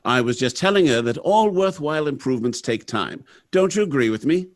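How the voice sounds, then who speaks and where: A man's voice: mid-range voice, lively intonation, brisk, close to an everyday voice. A man playing a confident, idealistic factory boss and husband in a radio drama, with a breezy, formal, self-assured manner. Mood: breezy, hearty.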